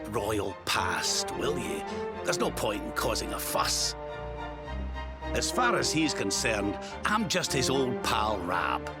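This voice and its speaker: A man's voice: lowish voice, big pitch swings, slow pace. An actor playing a genial, crafty old Scottish king travelling as a chatty companion in a video game. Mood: discreet, friendly. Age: older. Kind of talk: video game cutscene acting. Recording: loud game music behind.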